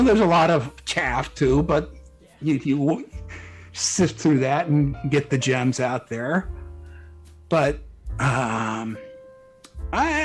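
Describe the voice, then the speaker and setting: A man with a somewhat high-pitched voice talks casually as a guest in an interview. His phrasing rambles and hesitates as he goes. Faint music plays beneath him. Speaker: interview guest, fan fiction author and hobby musician.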